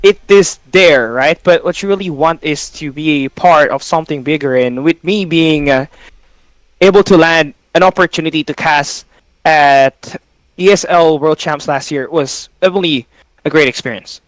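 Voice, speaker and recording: Smooth-voiced man, dry sound. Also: on the high side, moderate pace, no room sound, low-quality recording. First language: Tagalog. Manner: earnest, with frequent fillers like "you know". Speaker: esports caster, guest. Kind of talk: casual interview answers.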